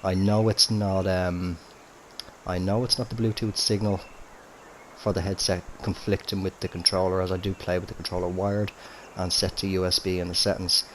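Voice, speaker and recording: A man's voice: deep voice, level tone, moderate pace, slight background noise. A man giving casual commentary on a game into a headset at home, his voice a little throaty from a cold, chatty and easygoing with lots of fillers. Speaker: gaming commentator. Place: home room with TV and console. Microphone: gaming headset.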